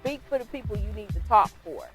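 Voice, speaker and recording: Female rapper guest, animated, laughs a lot, light music bed. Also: mid-range voice, fast talker, husky. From Californian Bay Area. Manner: laughs often, animated, says "girl" a lot. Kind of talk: casual chat.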